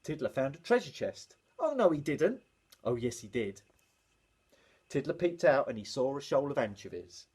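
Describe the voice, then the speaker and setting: A man reading a children's bedtime story in an animated storytelling manner. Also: medium pitch, normal speed, husky.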